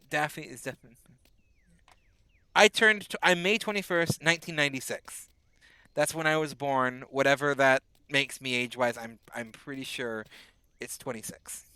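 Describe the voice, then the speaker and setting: A man's voice: mid-range voice, normal speed, pauses often, sharp tone, clear, resonant tone, dry recording, rough recording. A man in his twenties casually chatting with his live-stream chat while playing a game, rambling and answering messages, a little sick. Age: 20s. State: a bit sick, on bed rest.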